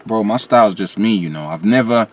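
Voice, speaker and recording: Animated London rapper telling stories. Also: male voice, a little fast. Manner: animated storytelling with London slang; lively, rising pitch when quoting himself. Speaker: rapper guest. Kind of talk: candid interview chat.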